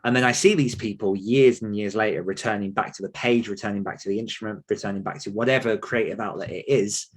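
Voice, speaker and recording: A man's voice: on the low side, fairly even intonation, fast talker, few pauses, slightly rough. A man speaking earnestly and conversationally as an author coach being interviewed.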